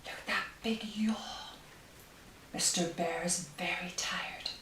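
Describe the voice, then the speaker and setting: Librarian lady doing kids storytime, slow n careful, bit far from the mic, echoey. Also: lowish voice, fairly even intonation, sharp tone, in a whisper. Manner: deliberate, careful phrasing with pauses. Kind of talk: read-aloud story time for young children. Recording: roomy, distant, faint hiss.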